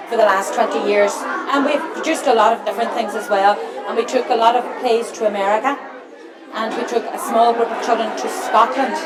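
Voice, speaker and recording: A middle-aged woman talking casually in an interview as an assistant drama director. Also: fairly high pitch. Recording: very noisy crowded large room. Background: loud crowd noise.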